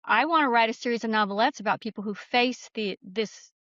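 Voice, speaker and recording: A woman's voice: fairly high pitch, fairly quick, fairly bright tone, no room sound. A middle-aged woman giving an interview as a guest, telling her story in an earnest, candid, reflective way, on slightly lo-fi audio. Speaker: guest author and screenwriter.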